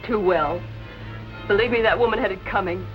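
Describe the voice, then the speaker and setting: An actress playing a bitter, jealous nightclub performer in 1940s film noir style. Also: female voice, medium pitch, moderate pace, muffled recording, close to an everyday voice. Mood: bitter, brusque. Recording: old film soundtrack, music behind.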